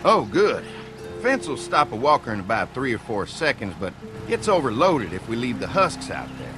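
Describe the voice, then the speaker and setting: An actor playing a friendly, easygoing rural dairy farmer with a folksy Southern US drawl in a video game. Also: male voice, mid-range voice, very animated, moderate pace, music in the background, natural voice. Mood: affable.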